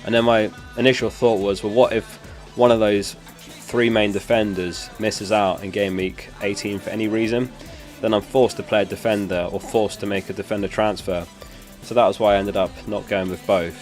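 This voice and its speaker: A man's voice: fairly low pitch, fairly even intonation, moderate pace, hardly any pauses, soft background music. A young man hosting a live stream, chatting casually and answering questions from chat while getting over a lost voice. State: recovering from a lost voice. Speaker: streamer host.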